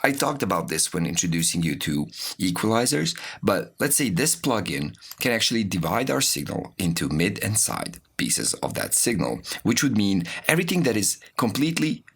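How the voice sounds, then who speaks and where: A man giving an informal, explanatory music production tutorial, walking through software as an instructor. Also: lowish voice, very animated, moderate pace, vocal fry, few pauses, breathy edge. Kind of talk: spoken tutorial with software demonstrations.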